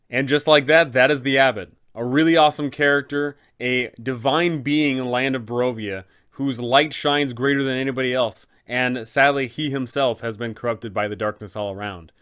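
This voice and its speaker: A man's voice: medium pitch, moderate pace, few pauses, a bit bright, clean tone. A man hosting a game master's guide, explaining in an enthusiastic, conversational way. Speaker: dungeon master and channel host.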